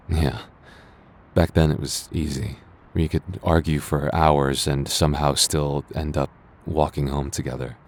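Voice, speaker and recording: A man playing a stubborn young guy with a low, deep voice, confessing to his childhood friend in a romantic audio roleplay. He is earnest and regretful, stumbling and sighing through his words. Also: darkish tone. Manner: halting, stammering, sighing, earnest. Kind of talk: intimate boyfriend roleplay audio drama.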